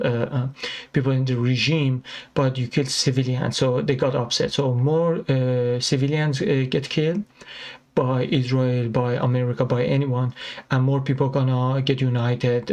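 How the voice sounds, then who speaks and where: A man from Iran, a non-native English speaker, giving a casual reaction commentary with frequent fillers and halting restarts. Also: medium pitch, level tone, a little mellow. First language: Persian. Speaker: commentary channel host.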